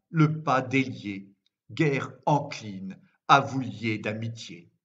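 A man reciting poetry as a poet reading his own work, in a measured, deliberate way with frequent pauses. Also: mid-range voice, fairly bright tone. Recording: dull, lo-fi sound.

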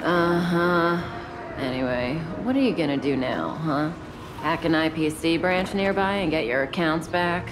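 A woman playing an unhurried, mature big-sister companion in a video game, languid, teasing and gently chiding. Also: sits low, music in the background. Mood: chiding, amused. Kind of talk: scripted game dialogue.

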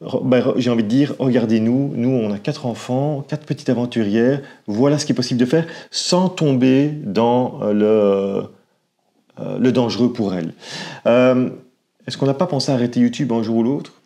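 Belgian man, 40s, vlog co-host, warm mellow tone, casual hesitant chat on lapel mic. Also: mid-range voice, moderate pace. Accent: Belgian French. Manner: chatty, with frequent hesitations. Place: covered porch beside a house. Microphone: clip-on lapel mic.

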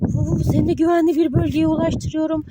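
A woman with an extremely high, childlike pitch narrates toy play as a mother would for her child. Her delivery is singsong and playful, full of little diminutives and pretend sounds. The recording is very noisy, with toys clattering. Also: flat delivery, fairly quick.

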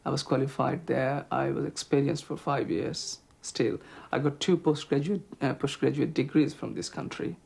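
Husky Bangladeshi-accented middle-aged man. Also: mid-range voice, fairly even intonation, a little mellow. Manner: measured, hesitant with frequent "uh" and "um". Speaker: health promotion officer interviewee. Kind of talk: interview answers.

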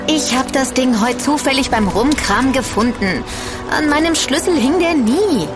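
A woman's voice: sits high, lively intonation, unhurried, over music. An actress playing a sassy, exasperated young woman in a naturalistic radio drama. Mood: exasperated, impatient. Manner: sassy, impatient. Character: exasperated young woman on a city trip with friends. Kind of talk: naturalistic audio-drama dialogue.

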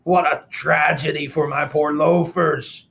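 Man putting on a boorish pig suitor, snorty and slow, storybook. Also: on the high side, steady tone, gravelly, fairly bright tone, voice pushed up. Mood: pompous. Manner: snorting, grandiose.

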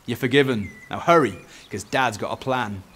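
A man's voice: mid-range voice, normal speed, a bit bright, a little hiss or hum, lightly acted. A British man voicing a kind, forgiving father in a children's story, reassuring and instructive.

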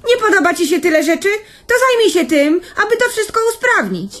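A woman's voice: sing-song, brisk, a bit bright, full character voice. An actress playing an exasperated, reproachful woman scolding a lazy man in a radio play. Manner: shrill, reproachful.

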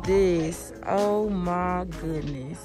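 A woman's voice: lowish voice, extremely slow. A young woman filming a casual travel vlog on a phone at arm's length, chatting straight to her viewers from a car and outdoors. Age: young adult. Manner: chatty, addresses viewers directly. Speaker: vlogger. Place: car and outdoor mountain spots. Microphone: phone held at arm's length. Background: background music.

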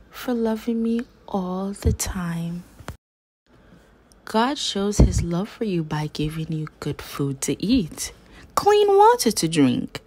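A woman reads a children's storybook aloud in a lowish, slightly husky voice. Her delivery is unhurried and swoops up and down with emphasis on key words, phrased carefully for young listeners. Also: darkish tone. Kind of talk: slow expressive children's book reading.

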